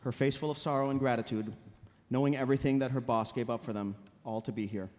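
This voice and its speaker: A man's voice: mid-range voice, steady tone, fast. A man narrating a live table read and introducing a cast in a theatrical, grandiose manner. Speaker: series writer reading stage directions and hosting. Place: large convention hall panel stage. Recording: loud crowd noise in convention hall.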